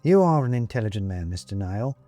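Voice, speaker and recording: A man voicing a jovial, persuasive ghost gentleman in an audiobook, with a British accent and clipped, chummy, old-fashioned upper-class phrasing. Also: fairly low pitch, big pitch swings, a little fast, faint music behind. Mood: proud, explaining. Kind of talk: audiobook character acting.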